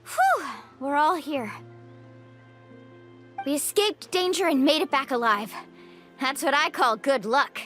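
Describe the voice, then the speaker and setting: A young, confident adventure guide in an anime game. Her voice is high and very animated, upbeat and take-charge, with faint music behind it. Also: slow pace, long pauses, full character voice. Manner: encouraging, take-charge, lively. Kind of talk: anime-style video game voice acting.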